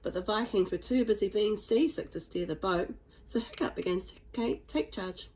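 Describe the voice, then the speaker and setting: A woman reads a children's story aloud at a medium pitch. She is expressive and puts dramatic emphasis on key words, as a storyteller does for young listeners. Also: normal speed. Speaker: storybook reader.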